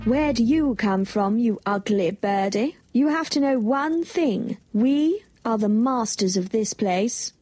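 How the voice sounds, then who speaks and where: A man's voice: extremely high pitch, lively intonation, slow pace, few pauses, a little mellow, faint music behind, pitched above the performer's own voice, heavily acted. A man voicing a small bird in a children's storybook. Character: small storybook bird, high-voiced hen or duckling.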